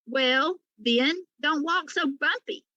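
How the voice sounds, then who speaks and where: A woman's voice: high-pitched, slow pace, pushed and bright, no room sound, lo-fi sound, voice pushed up, full character voice. A woman voicing a proud, prickly female cat in a storybook, with a haughty, sarcastic, snappish manner. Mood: grudging, snappish.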